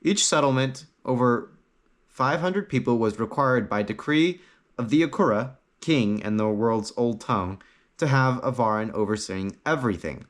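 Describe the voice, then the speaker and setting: Man narrating fantasy with very lively pitch. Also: moderate pace. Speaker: story narrator. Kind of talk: scripted audiobook narration of fantasy fiction.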